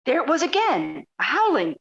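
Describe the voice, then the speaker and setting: A woman's voice: high voice, huge pitch swings, normal speed, dull audio, very noisy, heavily acted. A woman playing a courteous, persistent radio journalist in an audio drama, articulate and probing. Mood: startled, wry. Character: polite, inquisitive, persistent female radio journalist.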